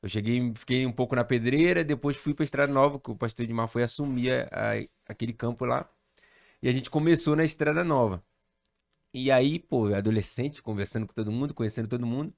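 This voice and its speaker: A Rio de man, a pastor, telling how his life unfolded in a relaxed, storytelling manner. He speaks at a mid pitch, and his voice sits close and dry, with little room sound. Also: a little fast, creaky, dry recording. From Rio de Janeiro, Brazil. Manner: animated storyteller, relaxed slang. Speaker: pastor, podcast guest. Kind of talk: casual storytelling chat.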